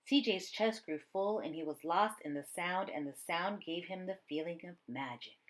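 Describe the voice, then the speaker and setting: A woman reading a children's story aloud in an expressive narrating style. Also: on the low side, normal speed. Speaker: storybook reader.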